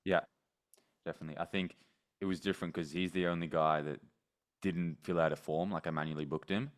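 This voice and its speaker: A man with a deep, very low voice talks as a coach in a casual sales conversation. His phrasing is informal, with short words of agreement. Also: steady tone, brisk. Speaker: coach taking sales calls.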